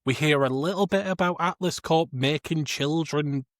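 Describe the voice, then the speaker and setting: A man's voice: a little high, moderate pace, no echo, studio quality. A man co-hosting a podcast, talking about film casually in a critical, exasperated and informal way. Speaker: podcast co-host.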